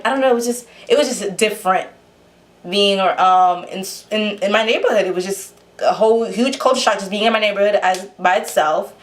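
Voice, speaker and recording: A young woman with a New York accent, telling her life story to camera in a chatty way, full of fillers and restarts. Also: medium pitch. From Honduras. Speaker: vlogger.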